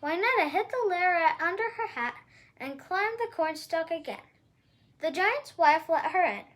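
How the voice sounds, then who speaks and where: Very high-voiced, animated woman storyteller. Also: a little slow. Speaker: storybook reader. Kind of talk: expressive read-aloud children's storytelling.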